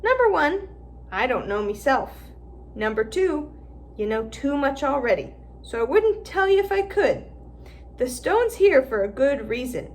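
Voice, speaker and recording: A woman reading aloud and voicing a big, secretive gamekeeper man who talks roughly and drops word endings. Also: high voice, sing-song, measured pace, slight background noise, exaggerated voice. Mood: firm.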